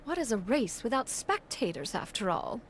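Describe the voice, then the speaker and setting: An actress playing a haughty, ancient immortal mentor in a video game, with pompous archaic speech. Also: female voice, fairly high pitch, very animated, moderate pace, slight background noise. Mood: lofty, rueful. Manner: pompous, archaic speech, refers to self as "one". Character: haughty ancient adeptus bird in woman's form, imperious mentor. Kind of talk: scripted video game dialogue. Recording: music playing behind.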